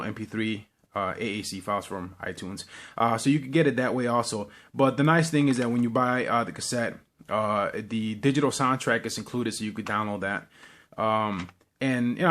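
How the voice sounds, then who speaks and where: A man talking casually and unscripted as a vlogger, in a halting, rambling way with repeated words. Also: mid-range voice, fairly bright tone. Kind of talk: casual unscripted show-and-tell.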